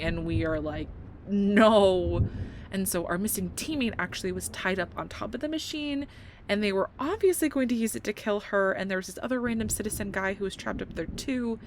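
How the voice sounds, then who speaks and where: Nerdy, playful girlfriend with a creaky voice, acted by a woman. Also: mid-range voice, natural voice. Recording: storm ambience with thunder behind. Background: thunder and storm noise.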